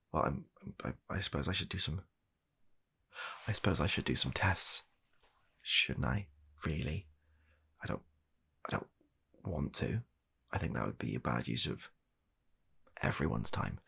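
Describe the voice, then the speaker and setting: A man acts out a weary British doctor in a comedy ASMR roleplay. His deep voice is gravelly and swings widely in pitch. He sounds reluctant and exasperated, picking his way haltingly through deadpan, soft-spoken remarks. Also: exaggerated voice. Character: weary, reluctant, baffled male doctor humouring an absurd patient.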